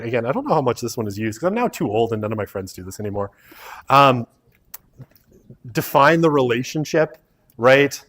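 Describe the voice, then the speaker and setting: A middle-aged man giving a casual university sociology lecture in an auditorium, rambling and self-deprecating, often tagging "right?" onto his points. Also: mid-range voice.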